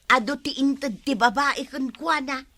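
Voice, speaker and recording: High-pitched scolding Ilocano village wife. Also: female voice, measured pace, sharp tone. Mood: gossipy. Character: bossy, scolding village wife of a lazy husband. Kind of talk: Ilocano radio comedy drama.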